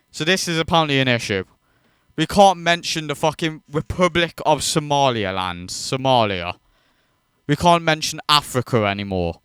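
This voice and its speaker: A man's voice: on the high side, a bit bright, clean tone, dry recording, clean studio sound. A man hosting a reaction video, ranting in disbelief and swearing freely as he mocks what he watches. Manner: incredulous, profane, mocking.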